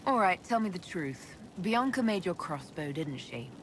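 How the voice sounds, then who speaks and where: A woman's voice: on the low side, big pitch swings, normal speed, a little hiss or hum. A woman playing a composed Inquisitor in a video game, asking direct questions and offering reassurance. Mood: curious. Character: composed, probing female fantasy leader and Inquisitor.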